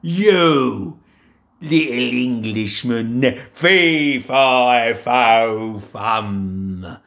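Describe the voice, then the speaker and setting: A man doing a booming, slow, menacing giant. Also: a bit bright. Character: huge gruff male giant, menacing and bossy.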